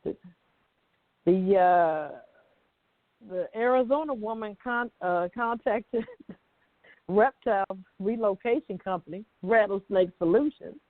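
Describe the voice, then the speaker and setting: A woman's voice: medium pitch, slow, pauses often, no room sound. A woman co-hosting a talk show, casually reading news items with informal banter. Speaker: talk show co-host presenting weird news. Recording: dry, thin phone-like audio.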